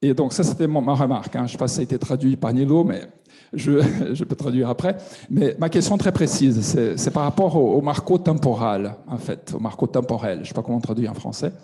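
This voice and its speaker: Middle-aged man, very dark tone, halting and full of restarts, mid pitch. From Brazil. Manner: hesitant, many restarts and fillers. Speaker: audience member asking a question. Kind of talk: spontaneous question from the floor.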